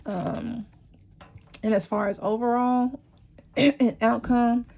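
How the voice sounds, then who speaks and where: A woman giving a rambling, encouraging tarot reading to her viewers, her voice worn and going hoarse, with many fillers and restarts. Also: a little high, fairly even intonation, takes it slowly, dark tone. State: losing her voice, clearing her throat. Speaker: tarot reader. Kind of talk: intuitive tarot reading to camera.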